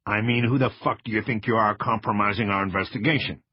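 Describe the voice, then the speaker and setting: Man playing a husky, bullying federal agent. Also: lowish voice, fairly even intonation, quick pace, no echo, dull audio, pitched above the performer's own voice. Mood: aggressive. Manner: taunting, threatening, hard-edged.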